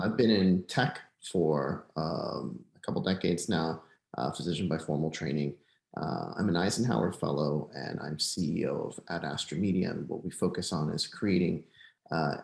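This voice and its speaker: A man's voice: a bass voice, gravelly, roomy sound. A man from Puerto Rico raised in Florida, introducing himself on an online panel with many uhs. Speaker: panelist, tech executive.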